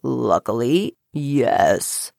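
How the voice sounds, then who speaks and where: Storybook frog boy played by a woman, pitched well down, calm, cheerful, unhurried. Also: gravelly, dry recording, clean studio sound, full character voice. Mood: calm, amused.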